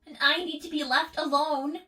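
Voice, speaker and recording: A woman's voice: high voice, fairly even intonation, moderate pace, sharp tone. A woman voicing a young robot girl in a livestream roleplay, solemn, withdrawn and formal in her speech. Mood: distressed, withdrawn. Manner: formal, precise, subdued. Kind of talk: improvised in-character livestream roleplay.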